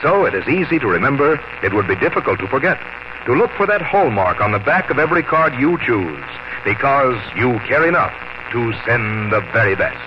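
A man's voice: mid-range voice, big pitch swings, fairly quick. A man delivering a scripted 1950s radio sponsor message as announcer, in a polished, earnest way. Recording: muffled, noisy 1950 radio broadcast.

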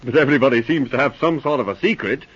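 Man playing husky, folksy town marshal. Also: mid-range voice, fast talker, close to an everyday voice. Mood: bemused. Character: plain-spoken, bemused town marshal. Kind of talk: 1940s radio drama acting. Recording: muffled old radio recording.

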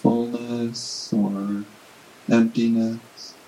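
A man leading a guided body scan meditation in a gentle, unhurried manner with long pauses. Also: mid-range voice, flat delivery, faint music behind, poor audio.

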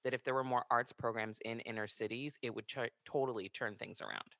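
A man's voice: medium pitch, steady tone, fairly quick, creaky, fairly bright tone, no echo. A man interviewing a guest in a casual, supportive conversation.

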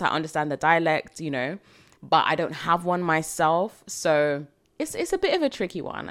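Twenty-something woman hosting her own podcast, low voice, chatty and rambly, laughs things off a bit. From Jamaica, raised in the UK from age seven. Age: 20s. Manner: chatty and reflective, rambling asides with light laughs. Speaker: podcast host. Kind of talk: solo podcast Q&A, casual chat.

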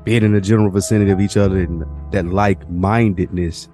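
Deep-voiced man musing at length. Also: steady tone, quick pace, warm tone, soft background music. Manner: laid-back, talks in long winding thoughts. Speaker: podcast co-host. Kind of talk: casual conversation.

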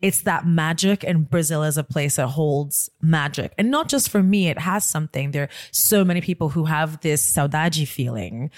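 Low-voiced woman from nigeria chatting on a podcast, excited, dry sound. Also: normal speed, hardly any pauses, no echo. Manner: animated, enthusiastic storytelling. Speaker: travel guest. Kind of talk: casual podcast interview.

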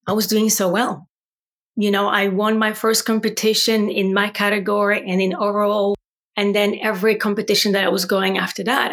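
A woman in her forties from Poland with a Polish accent, telling her story earnestly as a guest on an interview podcast. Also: mid-range voice. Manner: earnest, animated storytelling with tag questions. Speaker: wellbeing coach, podcast guest. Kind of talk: conversational interview.

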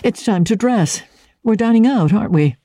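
Woman playing a reserved, weary gentleman husband. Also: medium pitch, lively intonation, moderate pace, dry recording, voice pushed up. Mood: impatient. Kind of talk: dramatic audiobook character reading.

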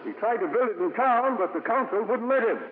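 An actor playing a folksy, shrewd small-town dealer, chatty and colloquial, in a 1950s radio drama. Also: male voice, high-pitched, animated, fairly quick, a crowd in the background. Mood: folksy, wheedling. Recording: echoey, narrow-band 1950s radio recording.

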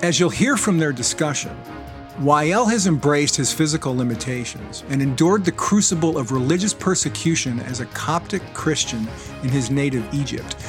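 A man co-hosting a podcast, reading a scripted introduction in a measured, earnest way. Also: a little high, animated, music in the background. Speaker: co-host.

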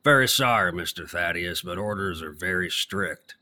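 A man playing a gruff, stubborn ex-prizefighter gatekeeper in an audio drama, with rough working-class speech and grammar. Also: low-pitched, lively intonation, normal speed, pushed and bright, heavily acted. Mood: impressed, hearty.

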